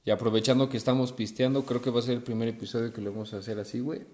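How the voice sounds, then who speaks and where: A man's voice: medium pitch, little pitch movement, rapid-fire, darkish tone. A young man with a Mexican accent hosting a casual chat over drinks, swearing and slangy. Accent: Mexican Spanish. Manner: slangy, swears a lot, says güey constantly. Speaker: podcast host. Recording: echoey room.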